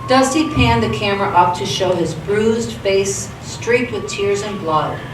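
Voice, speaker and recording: A woman's voice: mid-range voice, a little slow. Woman narrator reading a stage radio play, echoey room, far from mic, noisy background. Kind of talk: scripted narration for live radio theatre. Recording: echoey theatre, distant mic, clear background noise.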